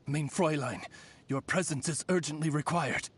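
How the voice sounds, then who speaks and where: An actor voicing a dignified raven familiar in an anime game, formal and polite like a dryly deferential butler. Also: male voice, fairly high pitch, moderate pace, breathy edge. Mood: dutiful. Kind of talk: anime game character voice lines.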